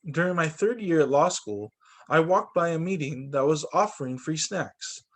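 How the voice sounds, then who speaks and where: Animated man reading a storybook aloud. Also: a little high, normal speed. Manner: animated, acts out dialogue for children. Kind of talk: expressive children's read-aloud narration.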